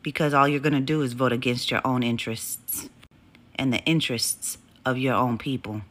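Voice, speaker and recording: A woman's voice: a bass voice, fairly even intonation, moderate pace, fairly bright tone. A woman delivering an impassioned, profane monologue to camera, emphatic and scolding.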